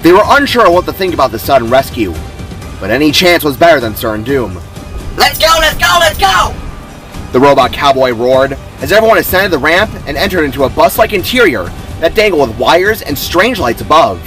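Male narrator for dramatic fiction, slightly high voice, very expressive pitch. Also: a little fast, music in the background. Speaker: author narrating own story. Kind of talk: dramatic scripted story narration.

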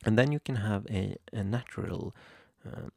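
A young man from Sweden with a Swedish accent, hesitantly walking through a software tutorial at his computer, with many ums and restarts. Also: on the low side, normal speed, vocal fry, husky, dry recording, studio quality. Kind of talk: screen-recorded software tutorial. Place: home room at a computer.

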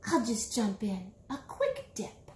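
Woman as little rabbit, storybook, curious, playful, highish pitch, musing and exclaiming, echoey room. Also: huge pitch swings, slow pace, a little mellow, heavily acted. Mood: eager. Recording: roomy small-room echo.

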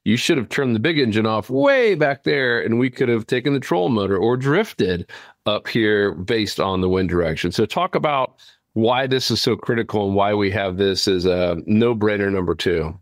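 A man's voice: fairly low pitch, expressive, moderate pace, no echo, clean studio sound. A man hosting a podcast, talking casually and explaining his points with emphasis. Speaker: podcast host. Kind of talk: casual podcast talk about fishing.

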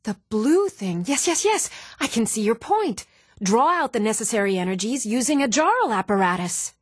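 An actress playing a scatterbrained, excitable woman mage in a fantasy video game, absent-minded and emphatic, questioning herself. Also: fairly high pitch, moderate pace, breathy edge, no echo, clean studio sound. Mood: excited. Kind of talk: fantasy game character dialogue.

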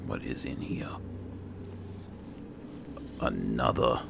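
Male gamer, slow and muttering, lively swings in pitch, hushed in-character play commentary on a noisy track. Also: creaky. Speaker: gamer playing a fantasy roleplay game. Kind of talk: low-key gameplay commentary in character.

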